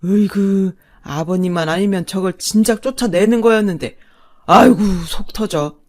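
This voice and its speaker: A woman's voice: mid-range voice, normal speed, a little mellow, lightly acted. Woman acting blustering, domineering older patriarch. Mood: furious. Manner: scolding, contemptuous. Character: domineering, abusive older husband and father, blustering. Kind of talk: dramatised family story narration.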